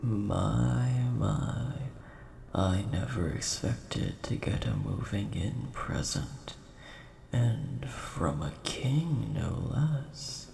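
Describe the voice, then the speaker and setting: Man as a slow, gravelly, courteous nerdy dragon. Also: fairly low pitch, expressive, a little mellow, full character voice. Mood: pleasantly surprised. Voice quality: gravelly, creaky. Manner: polite, measured, mildly indignant. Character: large, mild-mannered, nerdy male dragon, easily offended coin collector. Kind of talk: scripted audio roleplay addressed to the listener. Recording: roomy echo, faint background hiss.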